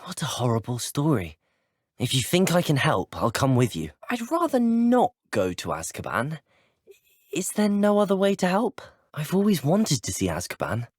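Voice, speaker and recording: A plucky teenage student wizard in a video game, with a British accent and a slightly husky, expressive voice. He is polite, earnest and well-spoken, with a middling pitch and a close, dry sound. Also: normal speed, no room sound, exaggerated voice. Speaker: video game player character voice. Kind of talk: scripted game voice lines.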